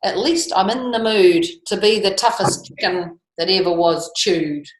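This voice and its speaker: A woman's voice: mid-range voice, a little slow, noisy background, natural voice. A woman voicing a timid but gallant male dragon in a storybook, declaiming melodramatically. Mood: resolved. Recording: echoey room.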